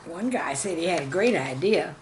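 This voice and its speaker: A woman reading scripted stories and verse as a channel host, with a measured, deliberate delivery. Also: on the low side, expressive, background noise. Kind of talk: scripted storytelling and poem reading.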